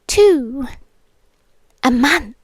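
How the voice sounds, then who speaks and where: A woman's voice: high voice, lively intonation, no echo, clean studio sound, full character voice. A British woman acting out a possessive, ancient supernatural girlfriend in an ASMR roleplay, teasing and smug, with intimate, menacing delivery that often drops toward a whisper. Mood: teasing, commanding. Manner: slow, intimate, taunting, often dropping to a whisper.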